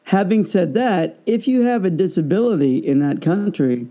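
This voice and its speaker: Animated Midwestern man, higher mellow voice. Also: moderate pace, dull audio. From Kansas, United States. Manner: animated storyteller, laughs often, keeps asking "right?". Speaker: podcast co-host. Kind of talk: casual chat.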